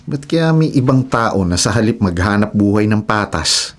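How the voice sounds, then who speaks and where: A man's voice: lowish voice, expressive, moderate pace, close to an everyday voice. A man playing an opinionated husband in a radio drama, earnest and hard on criminals. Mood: indignant.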